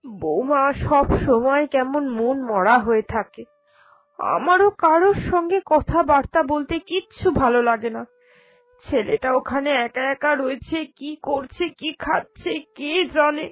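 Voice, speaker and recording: A woman's voice: high voice, measured pace, low-quality recording. A woman voicing an anxious, doting mother-in-law in a cartoon drama, with a plaintive, fretful manner. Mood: plaintive worry. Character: anxious, doting mother-in-law fretting over her son. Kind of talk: Bengali cartoon drama dubbing.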